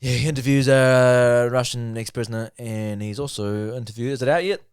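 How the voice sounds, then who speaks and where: A man's voice: mid-range voice, normal speed, smooth, no room sound, clean studio sound. A young man hosting a podcast in casual, jokey chat, laughing often. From New Zealand. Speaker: podcast host.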